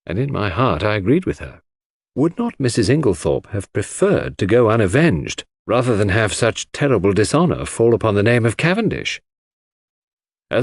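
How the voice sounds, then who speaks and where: A man's voice: lowish voice, dry recording, clean studio sound. A man reading a first-person mystery audiobook as a scripted narrator.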